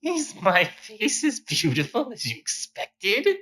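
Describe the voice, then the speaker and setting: A man voicing a taunting, dastardly masked assassin from a short story, with drawn-out, mocking delivery in an exaggerated voice. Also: high-pitched, huge pitch swings, bright, forceful tone, voice pushed up, heavily acted.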